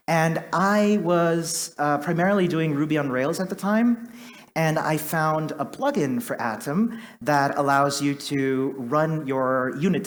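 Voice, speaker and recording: A man from Chile, likely in his thirties, giving a chatty, self-deprecating conference talk on stage with lots of fillers. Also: fairly high pitch. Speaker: conference speaker.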